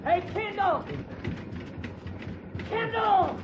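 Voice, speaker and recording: Very high-pitched desperate outlaw. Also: male voice, drawn-out words, background noise, full character voice. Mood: desperate threats. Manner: urgent, calling out. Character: desperate, threatening male outlaw bargaining under fire. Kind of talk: 1950s radio western acting. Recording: muffled old radio recording, music behind.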